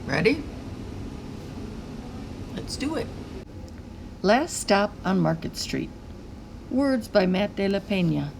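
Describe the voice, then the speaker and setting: A woman reading a picture book aloud to children in an expressive, deliberate storytelling manner. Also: medium pitch, gravelly, noisy background. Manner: animated, deliberate phrasing with pauses.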